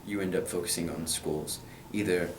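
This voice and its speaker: A man's voice: low-pitched, fairly even intonation, normal speed, echoey room, recorded from a distance, slight background noise. A young man from Kentucky answering interview questions about his school in an earnest way, with plenty of hesitant fillers. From Kentucky, USA.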